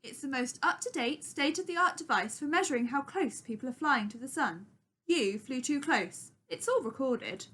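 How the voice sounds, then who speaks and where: A woman's voice: high voice, animated, moderate pace, sharp tone, breathy edge, heavily acted. A woman with a British accent playing a sceptical, officious traffic cop in a comic radio play. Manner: dry, questioning, sceptical.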